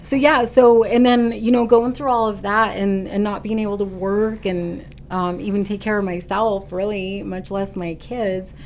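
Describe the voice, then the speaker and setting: A woman guest on a podcast, telling her personal story in casual, halting talk full of fillers. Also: mid-range voice, fairly even intonation, a little mellow, slight background noise. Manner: stubborn, determined. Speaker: podcast guest, boutique owner. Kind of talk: casual conversational interview.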